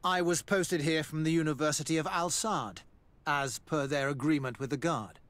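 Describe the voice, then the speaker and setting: An actor playing a courteous, matter-of-fact military doctor and scholar in a video game, speaking formally and precisely. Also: male voice, fairly high pitch, steady tone, normal speed, a bit bright, lightly acted. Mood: courteous, composed. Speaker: army doctor. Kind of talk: video game dialogue acting.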